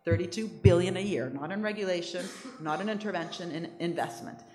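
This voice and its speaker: Low-voiced woman with an American accent. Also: roomy sound. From Italy. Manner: fast, emphatic, restarts and asides. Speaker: economics speaker. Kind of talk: lively talk with audience questions.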